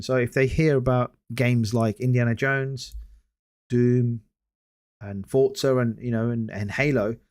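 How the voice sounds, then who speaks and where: A man hosting a casual solo gaming podcast, hoarse after hours of talking and rambling with many hesitant restarts. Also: medium pitch, darkish tone. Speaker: podcast host.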